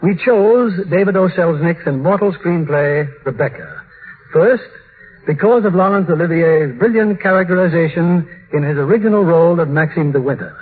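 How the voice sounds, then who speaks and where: A man presents formally as a vintage radio host, reading from a script. His voice is somewhat high and raspy, and the old broadcast sounds muffled and narrow. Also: animated, moderate pace. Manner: formal announcer delivery.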